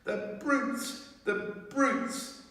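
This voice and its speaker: A horrified, tender-hearted little girl voiced by a man cries out from far off in a very echoey hall. The voice is pitched high and pained, in the manner of a storybook reading. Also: drawn-out words, pushed and bright, exaggerated voice. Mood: distressed.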